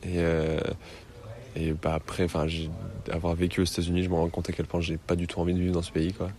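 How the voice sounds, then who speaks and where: A young man in his twenties who grew up in the United States, answering interview questions in a relaxed, rambling way with frequent hesitations. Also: deep voice, quick pace. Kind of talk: casual conversation.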